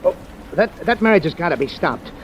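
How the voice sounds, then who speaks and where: An actor plays a nervous, hungover man in a 1940s detective radio play. His high voice stammers and whines through his hesitant pleas. There is faint noise on the old recording. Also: lively intonation, a little fast, dull audio, lightly acted. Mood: nervous, urgent. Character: jittery, hungover male client with a sob story. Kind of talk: 1940s radio drama acting.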